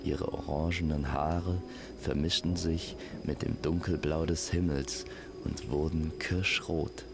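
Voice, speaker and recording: A man telling a scripted fantasy story as an immersive campfire narrator, speaking gently and poetically to the listener. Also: very deep voice, steady tone, vocal fry, noisy background. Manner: slow, gentle, poetic delivery. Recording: constant night insect and nature ambience. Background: crickets and forest night sounds.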